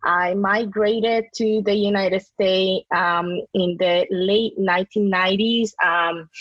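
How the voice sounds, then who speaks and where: A woman's voice: mid-range voice, no echo. Native Spanish-speaking woman, dull dry recording. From Dominican Republic. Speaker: podcast guest, real estate broker. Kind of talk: conversational interview answers.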